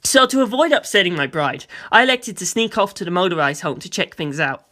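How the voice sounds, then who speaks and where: A narrator reading a first-person story aloud as an audiobook, in an expressive, conversational and dramatic way. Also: mid-range voice, a little fast. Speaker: audiobook reader.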